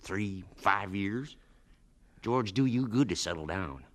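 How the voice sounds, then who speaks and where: An actor playing a gruff but kindly farmer and boss in a radio drama, blunt, folksy and emphatic. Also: male voice, on the low side, animated, takes it slowly. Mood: urging, warm.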